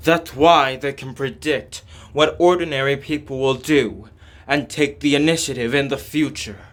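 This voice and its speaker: A man voicing a calm, devoted surgeon in a game, with a deliberate, explanatory manner. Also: medium pitch, slight background noise, lightly acted. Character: calm male surgeon devoted to protecting humans. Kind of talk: scripted game dialogue reading.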